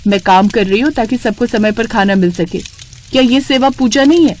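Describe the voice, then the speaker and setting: A woman's voice: a little high, normal speed, a little mellow, close to an everyday voice. A woman voicing an outspoken, fed-up young daughter-in-law in a cartoon family story. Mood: indignant. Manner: indignant, talks back.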